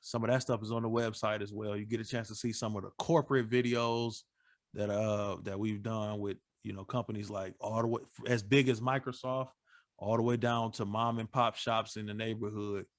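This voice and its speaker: A man's voice: fairly low pitch, little pitch movement, moderate pace, dry recording. Laid-back man, dry close sound. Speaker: video producer guest. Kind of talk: casual live chat. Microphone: phone or computer live stream.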